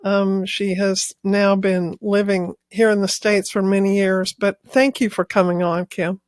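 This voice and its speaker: A woman's voice: medium pitch, level tone, a little slow, a little mellow, no echo, clean studio sound. A woman hosting a podcast, introducing her guest and asking questions in a friendly manner. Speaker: podcast host.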